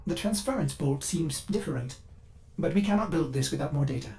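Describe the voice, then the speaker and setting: An actor playing an over-eager, fussy artificial intelligence that serves aboard a spaceship in a video game. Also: male voice, on the high side, animated, brisk, mellow, natural voice. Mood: helpful, analytical. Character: chirpy, bug-addled male ship AI, eager servant with dark glitches. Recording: music behind the voice.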